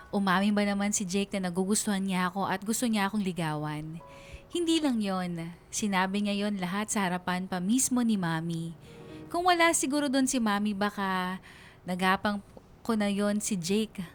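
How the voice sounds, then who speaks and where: Radio story narrator, played by an actress: young Filipino woman, gushing and conversational, medium pitch, music bed. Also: close to an everyday voice. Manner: chatty, gushing. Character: giddy, lovestruck young woman telling her story. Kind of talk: dramatized letter narration.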